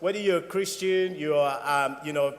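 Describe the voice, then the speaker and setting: A man's voice: on the high side, normal speed, long reverb tail. Laughing man from West Africa. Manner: laughs a lot, playful. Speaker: guest. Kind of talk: casual storytelling.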